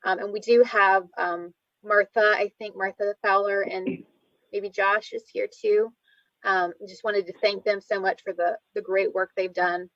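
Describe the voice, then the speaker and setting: Halting woman, dry and dull sound. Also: medium pitch, little pitch movement, fairly bright tone, no room sound. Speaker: city preservation planner. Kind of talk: informal spoken presentation at a public meeting. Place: remote online video meeting. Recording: dull, lo-fi online meeting audio.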